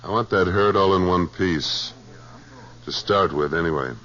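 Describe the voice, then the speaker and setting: An actor in a 1950s radio western playing a tough American trail boss, clipped, cool and authoritative. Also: male voice, low-pitched, unhurried. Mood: steady command. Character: tough, terse, commanding male trail boss. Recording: muffled old radio recording, faint hum.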